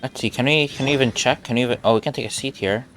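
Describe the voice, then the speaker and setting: A man's voice: mid-range voice, rapid-fire, fairly bright tone, slight background noise. A man streaming a game, giving casual, muttered short reactions and commentary with gamer slang.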